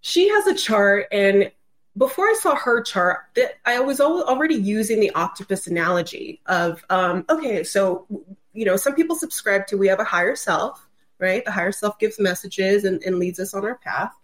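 A woman's voice: medium pitch, expressive, moderate pace. A woman with a mid-range voice talks as a guest on a podcast. She explains things conversationally and loosely, sprinkling in casual filler phrases. The delivery is informal and unscripted. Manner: informal, loose, peppered with "like" and "you know".

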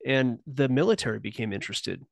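A man's voice: mid-range voice, expressive, a little fast, creaky, no room sound, clean studio sound. A man speaking as a podcast guest in casual chat, rambling and restarting sentences as he speculates. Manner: rambling, self-interrupting, speculative. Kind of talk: casual conversational interview.

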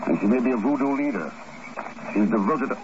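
An actor playing a cool-headed, authoritative secret agent in a 1950s radio drama, calm, dry and clipped. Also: male voice, medium pitch, brisk, natural voice. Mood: cool, composed. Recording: muffled, noisy old 1950s radio recording.

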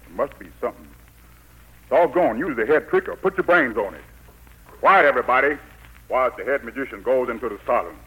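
An actor playing a cruel, blustering old Pharaoh in a folksy dialect, in 1940s radio style. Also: male voice, medium pitch, animated, brisk, bright, forceful tone, husky, exaggerated voice, rough recording. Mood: bossy. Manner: folksy Southern dialect, bossy. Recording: 1940s radio broadcast recording.

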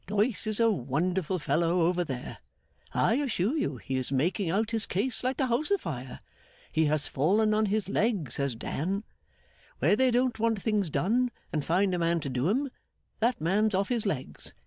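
A man voicing a jolly, blustering older English gentleman in an audiobook, fatherly, emphatic and effusive. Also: high-pitched, sing-song, unhurried, dry recording, clean studio sound, pitched above the performer's own voice, full character voice. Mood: hearty, excited. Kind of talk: dramatised audiobook character.

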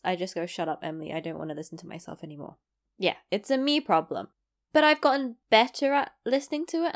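A young woman from East London with a London accent, talking casually to the camera, self-deprecating and rambling with asides. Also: lowish voice. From East London, England. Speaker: vlogger.

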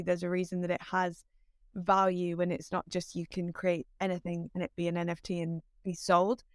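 A woman interviewer asks her questions and responds with chatty affirmations. Her voice sits at a middle pitch. The recording is dry and close, with no echo. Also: smooth. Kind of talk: casual interview.

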